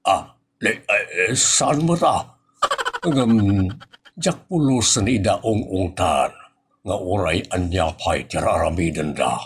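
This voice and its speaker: Brief: male actor as henpecked husband and scolding boss, Ilocano accent, low slow delivery, comedy radio play. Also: expressive, slight background noise. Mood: hesitant, complaining. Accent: Ilocano Filipino. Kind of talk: Ilocano comedy audio drama acting.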